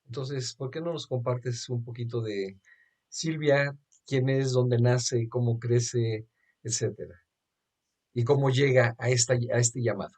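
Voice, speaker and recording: A man's voice: mid-range voice, unhurried, darkish tone. A man with a Mexican accent hosting a casual religious interview over a video call. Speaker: host.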